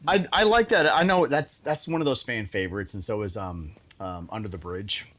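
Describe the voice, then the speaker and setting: A man's voice: on the low side, moderate pace, fairly bright tone. A man chatting casually as a show host, telling stories and repeating phrases for emphasis, with some mild swearing. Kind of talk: casual storytelling chat.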